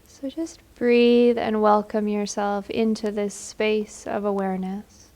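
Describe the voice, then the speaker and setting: A woman leads a guided meditation at a very slow pace. Her delivery is gentle and soothing, with little rise or fall in a mid-range voice. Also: mid-range voice, level tone, vocal fry.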